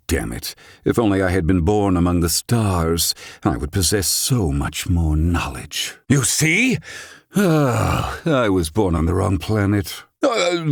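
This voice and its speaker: A man's voice: lowish voice, creaky, dry recording, clean studio sound, exaggerated voice. An actor playing a proud, grumpy, brainy older man in a video game, with pompous, blustering, wordy old-fashioned diction. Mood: flustered, indignant, then proud, grumbling. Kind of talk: dramatic English-dub game acting.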